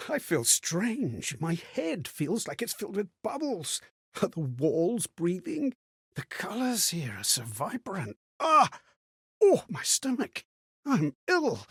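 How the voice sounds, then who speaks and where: Game role: haunted, wondering man lost in memories; very lively intonation, breathy husk, theatrical delivery, dry close recording. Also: fairly high pitch, dry recording, exaggerated voice. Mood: dazed, wondering, then sick, panicked. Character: haunted, bewildered man recalling fragmented, strange memories. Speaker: video game voice actor. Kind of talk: dramatic game character monologue.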